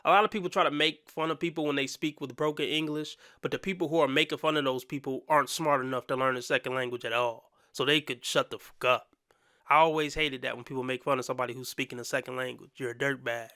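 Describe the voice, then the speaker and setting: A man's voice: mid-range voice, fairly even intonation, fast talker, sharp tone, dry recording, clean studio sound. A man hosting a reaction commentary channel, blunt and opinionated with casual slang.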